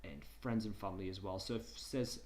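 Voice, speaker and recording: Low-voiced man tutoring informally over background noise. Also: a little fast, darkish tone. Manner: hesitant, conversational, repeats himself. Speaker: tutor presenting a digital skills session. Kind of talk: informal online lesson, reading slides aloud and commenting.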